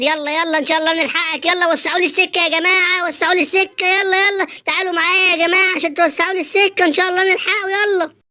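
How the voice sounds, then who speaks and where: A woman's voice: high-pitched, a bit bright, no room sound, pitched above the performer's own voice. A woman doing a proud cartoon elephant. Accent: Egyptian Arabic. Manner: boastful and urgent. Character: big male elephant, proud and eager to help.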